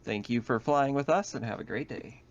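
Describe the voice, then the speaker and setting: A man's voice: medium pitch, normal speed, creaky, close to an everyday voice. A courteous male ship's captain, played by a game master addresses passengers formally in a sci-fi tabletop game. The sound is dull, like a cheap voice chat. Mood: polite, routine. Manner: polite, formal announcement.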